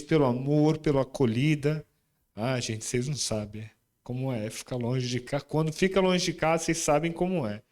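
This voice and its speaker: A man's voice: medium pitch, a little mellow. A man preaching in a church, introducing his student group and then moving into the sermon with chatty, informal asides to the congregation. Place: church sanctuary.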